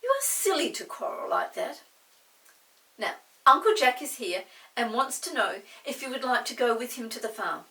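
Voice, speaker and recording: A woman reading a story voices a calm, kindly mother who gently scolds. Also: a little high, moderate pace, far from the mic, heavily acted, low-quality recording. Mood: gentle.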